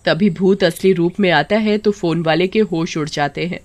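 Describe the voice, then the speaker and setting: A woman narrating a scripted cartoon story in a steady storytelling manner. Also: on the low side, moderate pace.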